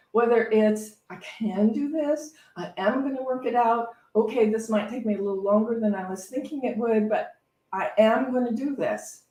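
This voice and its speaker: A woman's voice: medium pitch, a little mellow. A woman reverend giving a conversational, unscripted inspirational talk, reflective and anecdotal, often repeating phrases as she feels her way along. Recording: echoey room, distant microphone.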